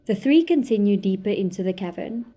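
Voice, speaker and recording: A woman narrating an audio drama in a measured, descriptive way. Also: medium pitch, expressive, mellow.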